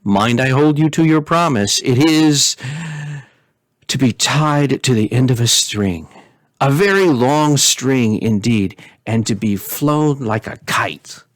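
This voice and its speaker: A man's voice: mid-range voice, animated, takes it slowly, no echo, voice pushed up. A man voicing a playful, flippant teenage princess in a storybook, airy and teasing. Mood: gleeful, eager.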